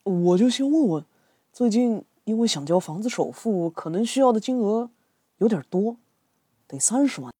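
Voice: mid-range voice, lively intonation, normal speed, warm tone, husky, no echo, clean studio sound. A performer playing a worried father working in the city, grumbling and colloquial, in an amateur radio drama. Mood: doubtful, questioning. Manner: sighing, complaining, colloquial. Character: worried migrant father seeking a loan in the city.

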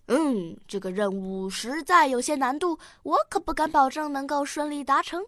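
Woman playing an eager, high-voiced young granddaughter. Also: moderate pace, dry recording, clean studio sound, close to an everyday voice. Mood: earnest, thoughtful. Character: bright, eager young granddaughter, playful and caring. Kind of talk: radio drama acting.

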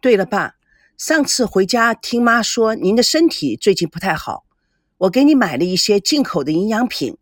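A woman's voice: medium pitch, sing-song, normal speed, no room sound, clean studio sound. A woman voicing a respectful, dutiful middle-aged son in an audiobook, polite and hesitant.

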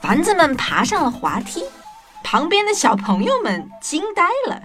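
Animated high-voiced woman storyteller for kids. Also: moderate pace, over music. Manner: expressive, addressing young children. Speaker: storyteller and show presenter. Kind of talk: animated scripted children's story narration.

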